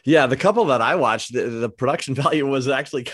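A man's voice: medium pitch, fast, a bit bright, breathy edge, no room sound, clean studio sound. A man hosting an interview, chatty and friendly, stumbling over his words and laughing now and then. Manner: chatty, stammering, laughs often. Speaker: interviewer, host. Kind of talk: casual interview.

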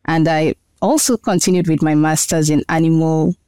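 A woman's voice: low-pitched, steady tone, normal speed, dark tone, clear, resonant tone, no room sound. A woman, explaining her research as a guest in an earnest, thoughtful interview. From Nigeria. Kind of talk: interview answers.

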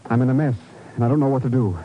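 A man playing a desperate caller in deep trouble, urgent and pleading, in a 1950s radio drama. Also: fairly even intonation, rapid-fire, natural voice. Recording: tinny narrow old radio telephone scene.

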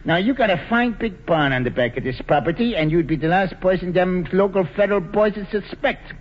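An actor playing a cocky, slangy American gangster boss who talks breezily and pushily, in 1940s radio drama style. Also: male voice, sits high, lively intonation, a little fast. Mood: wheedling, pushy. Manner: breezy, pushy, wheedling talk in gangster slang. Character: slangy, cocky male bootlegger gang boss. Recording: dull vintage radio broadcast, reverberant, faint music.

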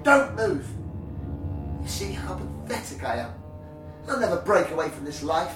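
An actor voicing a desperate young man named Jack who self-harms, shouting frantic orders. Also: lowish voice, very animated, normal speed, far from the mic, music in the background. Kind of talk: audio drama acting. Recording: echoey, from off mic.

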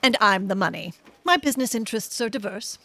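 A woman's voice: medium pitch, lively intonation, quick pace, vocal fry, sharp tone, a little hiss or hum. An actress playing a wealthy, worldly American businesswoman in a radio drama, assured and dryly gracious.

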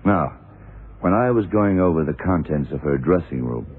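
An actor playing a blunt, self-assured police detective in a 1970s radio drama, questioning deliberately and methodically. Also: male voice, a bass voice, lively intonation, husky, narrow, tinny audio, exaggerated voice. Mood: accusing, assured. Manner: deliberate, probing, punctuated with yeah and right. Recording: noisy, band-limited old radio recording.

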